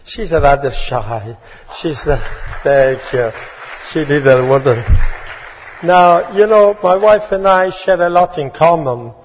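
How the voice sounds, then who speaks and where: Italian-accented man preaching slowly. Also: on the high side, slightly rough. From Italy. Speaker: guest preacher. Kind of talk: sermon greeting. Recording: dull sound with long hall reverb.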